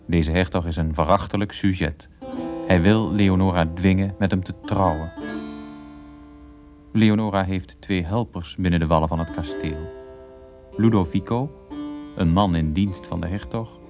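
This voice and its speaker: Deep-voiced man narrating over guitar music. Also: unhurried, pauses often. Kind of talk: scripted radio drama narration.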